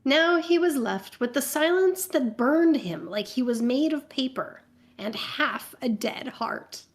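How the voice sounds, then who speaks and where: A woman's voice: high-pitched, animated, a little slow. A woman narrating fan fiction aloud for a comedy show, with joking asides.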